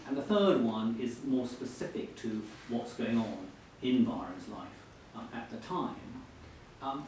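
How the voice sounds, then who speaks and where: A man acting as interviewer in a literary interview before an audience, putting his questions in a hesitant, roundabout way. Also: a little mellow. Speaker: interviewer at a book event. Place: echoey hall at a live book event. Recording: very echoey, distant mic, faint rumbling noise.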